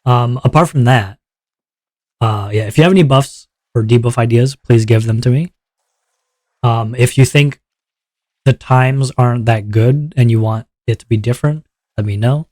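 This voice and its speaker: A man's voice: moderate pace, no echo. Chatty streamer man, dry close mic. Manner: upbeat, chatty, checks in with chat often; relaxed lower voice after a viewer redeem. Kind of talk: casual livestream chat explaining rules.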